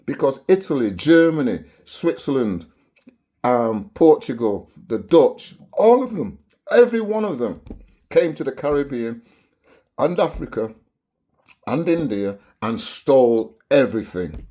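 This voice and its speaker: A man's voice: medium pitch, sing-song, unhurried, dark tone. A man in his seventies from Barbados with a Bajan accent, speaking earnestly and preachingly to his viewers, often repeating phrases and ending with "yeah?". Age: 70s. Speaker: online show host. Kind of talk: direct-to-camera commentary.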